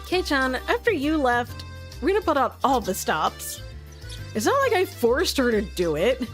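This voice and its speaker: A woman's voice: sits high, sing-song, measured pace, soft background music. A woman voicing a brash, confident teenage anime girl who talks in a bossy, teasing way, for a visual novel game.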